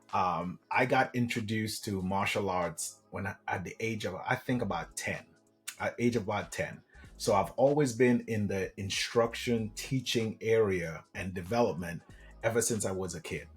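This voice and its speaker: A middle-aged man with a low voice tells his story in a friendly, lively way. Faint music plays underneath. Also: a little slow. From Trinidad and Tobago. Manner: animated, friendly, peppers speech with "man". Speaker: guest, government contracting coach. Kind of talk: casual interview storytelling.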